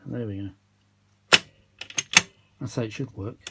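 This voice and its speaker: A man's voice: fairly low pitch, a little slow, vocal fry, very noisy. Rambling man, casual and mildly weary. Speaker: vintage audio repair vlogger. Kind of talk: casual unscripted workshop chat.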